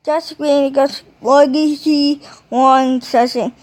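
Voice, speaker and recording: A woman talking casually in a halting, rambling way, with mumbled phrases, as in an improvised home video. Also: sits high, steady tone.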